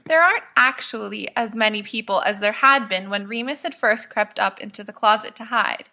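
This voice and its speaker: A woman's voice: mid-range voice, normal speed, bright, forceful tone. A woman reading scripted fiction aloud as an audiobook narrator. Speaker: podfic reader, narrator.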